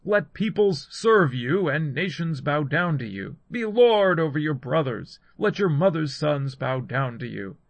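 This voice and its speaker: A man's voice: a little high, very animated, measured pace, creaky, hardly any pauses, no room sound, pitched above the performer's own voice, heavily acted. A man voicing an aged, solemn father who declaims to his sons as in a scripture story. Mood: solemn, blessing.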